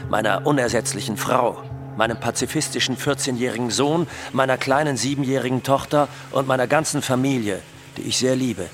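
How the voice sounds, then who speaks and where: Actor as proud drug lord declaiming over music. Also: male voice, medium pitch, natural voice. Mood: solemn. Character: defiant self-important male drug lord making public statements. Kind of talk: German radio documentary drama, declaimed statements. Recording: music behind the voice.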